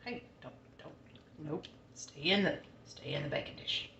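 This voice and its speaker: A woman's voice: deep voice, wildly swooping pitch, normal speed, vocal fry, pushed and bright, reverberant, dull audio, slight background noise. A woman with a Southern American accent, chatting casually and thinking aloud as she cooks in her home kitchen. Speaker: home cook. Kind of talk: casual cooking vlog chat.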